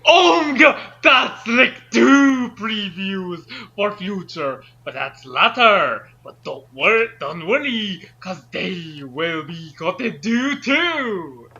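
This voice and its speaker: A man's voice: sits high, big pitch swings, slow pace, hardly any pauses, sharp tone, dull audio. A man doing comedic banter as a co-host, with a hammy, mock-villainous manner. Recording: faint music behind. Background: faint music.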